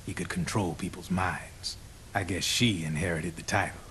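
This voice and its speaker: An actor playing a slick, cynical arms dealer in a game cutscene, explaining smugly in folksy slang. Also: male voice, deep voice, steady tone, normal speed, fairly bright tone, a little hiss or hum. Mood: smug, knowing. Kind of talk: video game cutscene acting.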